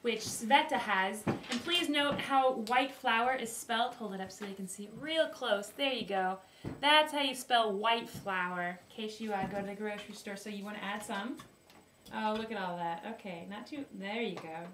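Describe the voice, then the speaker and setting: A woman's voice: medium pitch, normal speed, fairly bright tone. A young woman hosting a homemade cooking video, presenting in a playful mock-formal way and giggling often, heard from a distant camera in a small wooden kitchen. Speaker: host of a home cooking video. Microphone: far camera. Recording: roomy, distant, faint hiss.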